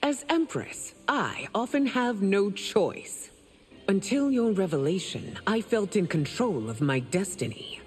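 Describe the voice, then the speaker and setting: A woman's voice: mid-range voice, very animated, unhurried, a little mellow, long reverb tail, soft background music. An actress playing a proud, stern empress and mother in a video game, speaking formally and imperiously. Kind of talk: dramatic video game dialogue.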